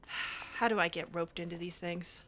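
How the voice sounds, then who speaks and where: A woman's voice: on the low side, steady tone, a little slow, a bit bright. A woman doing casual improvised roleplay while playing a video game, hesitant, awkward and drily reluctant. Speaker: video game player roleplaying her character.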